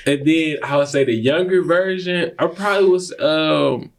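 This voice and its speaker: A man's voice: fairly high pitch, moderate pace, gravelly. A man in his twenties with a Detroit accent, talking casually and expressively in slang as an interview guest. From Detroit, United States. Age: 20s. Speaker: podcast guest, community relations consultant.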